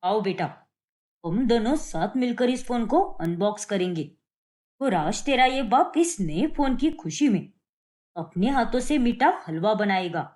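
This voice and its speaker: A woman's voice: fairly high pitch, very animated, unhurried, darkish tone. Woman acting a taunting, theatrical cartoon father. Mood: affectionate. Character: sarcastic middle-aged father, protective, teasing, firm.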